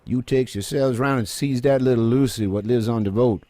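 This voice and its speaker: An actor playing a rough but obliging old dockside man in a video game, with rustic, colloquial speech. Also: medium pitch, moderate pace, dark tone, dry recording, lightly acted. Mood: startled, urgent. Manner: rustic, colloquial, nonstandard grammar. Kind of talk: video game cutscene acting.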